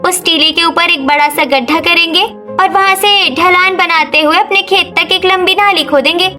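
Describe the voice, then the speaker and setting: Woman voicing an eager, very high-pitched young village daughter-in-law. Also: normal speed, bright, forceful tone, soft background music. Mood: eager, confident. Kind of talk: Hindi cartoon story acting.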